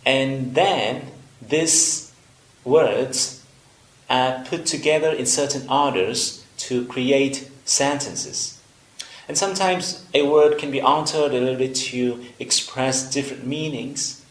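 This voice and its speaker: A young man whose first language is Vietnamese explains English basics with a Vietnamese accent. He speaks slowly and deliberately, pausing often with small fillers. His voice sits at an ordinary pitch and rings in an echoey room. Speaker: English teacher. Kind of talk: slow instructional video lesson.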